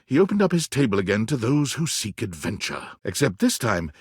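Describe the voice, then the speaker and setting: A man's voice: lowish voice, very animated, brisk, husky, no room sound, studio quality. A man playing a dramatic storyteller, narrating a video game in a theatrical, scripted second-person style. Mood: dramatic, storytelling.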